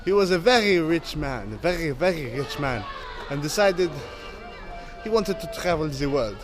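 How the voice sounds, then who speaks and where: A man's voice: fairly high pitch, very animated, moderate pace, music in the background, low-quality recording. A man talking to the camera in a casual travel vlog, chatty and playful. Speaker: travel vlogger.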